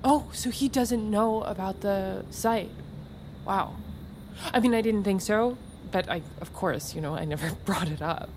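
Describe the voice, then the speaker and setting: A woman's voice: mid-range voice, measured pace, background noise, natural voice. Actress playing breezy manipulative woman, mid-range voice, coy, insistent, chatty, audio drama, faint background ambience. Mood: probing, sly. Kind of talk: naturalistic audio drama acting.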